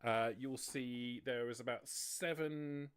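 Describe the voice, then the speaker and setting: A man running a tabletop roleplaying game, narrating off the cuff in a halting, deliberate way. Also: mid-range voice, fairly even intonation, clean tone. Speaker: game master.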